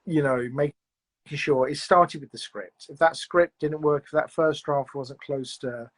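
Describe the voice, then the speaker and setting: A man's voice: on the high side, a little slow. A man being interviewed about producing audio fiction, talking in a reflective, rambling way with lots of "you know"s and restarts. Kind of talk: casual interview chat.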